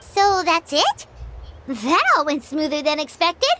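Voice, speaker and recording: A woman's voice: sits high, very animated, takes it slowly, noisy background, exaggerated voice. A woman voicing a small, curious fairy companion in a game cutscene. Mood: wry. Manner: inquisitive, chatty.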